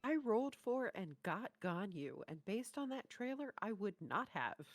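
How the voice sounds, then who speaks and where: A woman's voice: mid-range voice, big pitch swings, a little slow. A woman co-hosting a reaction show, chatting informally and giving her opinions. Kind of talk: casual reaction chat and ranking.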